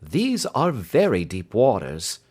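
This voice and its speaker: A man voicing a keen gentleman detective in a storybook reading, brisk, inquisitive and authoritative. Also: fairly low pitch, wildly swooping pitch, husky, voice pushed down, full character voice. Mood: grave.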